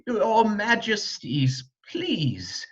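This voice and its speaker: Old storybook woman, played by a man: courteous, firm, raised lilting pitch. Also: huge pitch swings, extremely slow, lo-fi sound, heavily acted. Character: polite but brisk old peasant woman.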